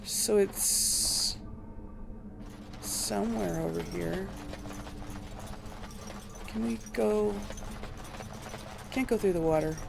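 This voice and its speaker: A woman's voice: medium pitch, very slow, gravelly, long pauses, background noise. A woman doing casual video game commentary, musing aloud and questioning herself as she plays. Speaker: let's play host.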